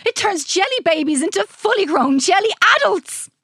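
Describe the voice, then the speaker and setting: A woman voicing an eccentric old male scientist in a children's story, animated, stammering and exclamatory. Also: very high-pitched, moderate pace, no echo, clean studio sound, voice pushed up, exaggerated voice. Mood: excited boasting.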